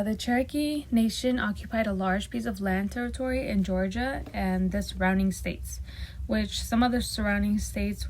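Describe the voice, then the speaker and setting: A woman whose first language is Spanish gives a nervous, hesitant class presentation, with many pauses, ums and restarted words. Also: mid-range voice, a little mellow, a little hiss or hum. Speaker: student presenting a project.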